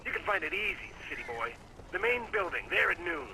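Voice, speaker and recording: A menacing American kidnapper in a game, high-pitched and swinging widely as he taunts. Clear noise sits behind the voice. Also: sing-song, fairly quick. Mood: taunting menace. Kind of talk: villain acting in a video game.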